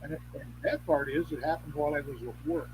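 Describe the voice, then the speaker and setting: An older man with a somewhat high voice talks in a rambling, anecdotal way. He is folksy and salty, halting and repeating himself as he explains. A noticeable hum and noise sit behind him. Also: darkish tone. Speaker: guest, Craft elder and teacher. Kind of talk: rambling anecdotal interview talk. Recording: clearly noisy background hum.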